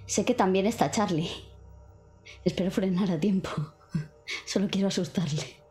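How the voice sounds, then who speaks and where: A woman's voice: mid-range voice, moderate pace, warm tone, faint music behind. A woman with a Castilian Spanish accent casually narrating her character's actions as a player in a tabletop game. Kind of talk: casual first-person roleplay.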